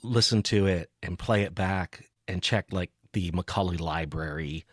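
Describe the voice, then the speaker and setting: A man with a fairly low voice hosts a podcast, recorded dry and close to the mic. He talks casually and rambles a little, with a jokey, self-deprecating manner. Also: normal speed, no room sound. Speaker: podcast host. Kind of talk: casual, chatty interview talk.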